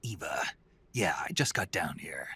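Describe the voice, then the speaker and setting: An actor playing a gruff, weary soldier on the run in a video game, with a gravelly voice and a wry, terse manner. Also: male voice, rumbling low, lively intonation, measured pace, pushed and bright, exaggerated voice. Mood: wry, tense. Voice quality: gravelly, husky. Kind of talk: dramatic video game voice acting.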